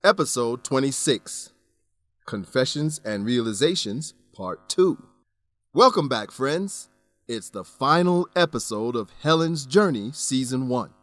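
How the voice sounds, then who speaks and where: A man narrates a radio drama as its host, in a mid-pitched voice. His delivery is measured and clear, aimed at learners, recorded dry with soft music underneath. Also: dry recording. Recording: dry studio voice over music. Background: faint music.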